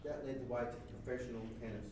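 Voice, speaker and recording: A man in the audience asking a halting, probing question. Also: mid-range voice, fairly even intonation. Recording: very echoey room, far mic, noise.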